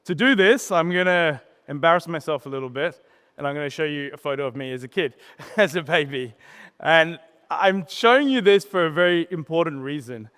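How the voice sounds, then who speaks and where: Man, slightly high smooth voice, conversational conference presenter, plain-spoken. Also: expressive, fairly bright tone. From born in Sri Lanka, grew up in Australia. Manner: plain-spoken, conversational, uses pauses and tag questions. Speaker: startup co-founder, conference speaker. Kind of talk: informal conference presentation, personal storytelling. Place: conference stage in a large hall. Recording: reverberant hall PA sound.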